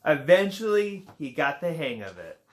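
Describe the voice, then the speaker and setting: A man reading a children's picture book aloud, unhurried and informal. He stumbles now and then and drops in playful asides, and his pitch rises and falls a great deal as he tells the story. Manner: relaxed reading with small slips and playful asides.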